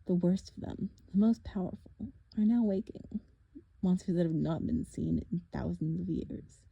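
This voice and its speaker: A woman voicing a gloating, monstrous male villain doctor who speaks slowly and menacingly, with cold laughs, in an audiobook reading. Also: on the low side, creaky, mellow, husky, highs cut off, full character voice. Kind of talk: audiobook character voice.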